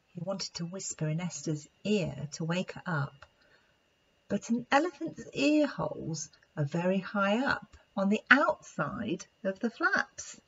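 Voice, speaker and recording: A woman's voice: mid-range voice, slightly rough. A woman narrating a children's story expressively, in a slightly dull recording. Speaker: storybook reader, play therapist.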